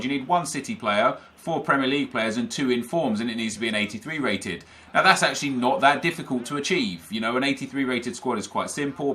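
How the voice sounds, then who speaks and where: A young man, talking casually to viewers about a football video game and reading their comments, energetic and opinionated. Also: on the high side. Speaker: gaming YouTuber.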